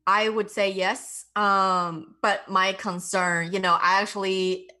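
A woman's voice: medium pitch, little pitch movement, takes it slowly, bright, forceful tone. A woman who speaks English as a second language, talking conversationally as a journalist conducting an interview. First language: not English.

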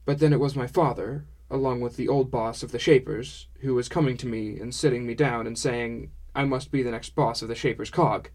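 A man's voice: mid-range voice, steady tone, a little fast, few pauses, darkish tone, lightly acted. A man voicing a kindly, frank, easily amused dwarf woman with quaint foreign-style phrasing in an audiobook. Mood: explaining, reflective. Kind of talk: audiobook character dialogue.

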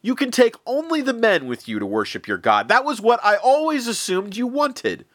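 A man voicing an enraged, arrogant Egyptian king who sneers and shouts. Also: very animated, normal speed, full character voice. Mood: sneering. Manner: sneering, shouting, high-pitched outbursts.

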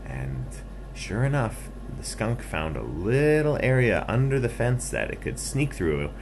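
A man's voice: medium pitch, sing-song, measured pace, slight background noise, poor audio. A man narrating an improvised bedtime story for children in simple, playful, repetitive phrases.

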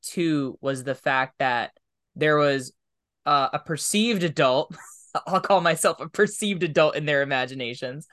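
Reflective podcast host, mid-pitched voice. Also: a bit bright. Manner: reflective, thinking aloud. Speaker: tabletop game master. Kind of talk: conversational interview, casual chat.

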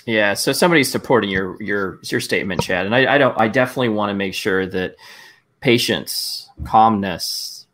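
A man's voice: fairly low pitch, moderate pace, a bit bright, a little hiss or hum. A man from Arizona, talking casually and laughing often as co-host of a group video stream. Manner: easygoing, laughs often, rambling.

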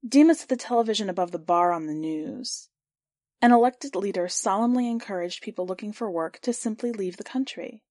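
A woman reading a first-person literary short story aloud for a podcast, in a measured, clear storytelling voice. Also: medium pitch, warm tone, no room sound, clean studio sound. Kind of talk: scripted narration of literary fiction.

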